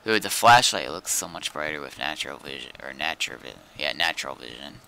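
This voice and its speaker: A man with a deep, gravelly voice that comes through clearly. He talks casually on a game livestream. He tends to ramble and think out loud. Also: a little fast. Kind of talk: casual livestream chat while gaming.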